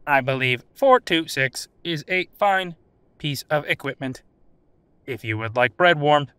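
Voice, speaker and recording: Man acting as stilted old computer AI, slow-spoken. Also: a little high, expressive, fairly bright tone, clear, resonant tone, dry recording. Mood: dry, formal. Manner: stilted, formal, deliberate phrasing without contractions. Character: old sentient AI computer, formal, literal, dryly attempting humor. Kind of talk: scripted audio drama interview.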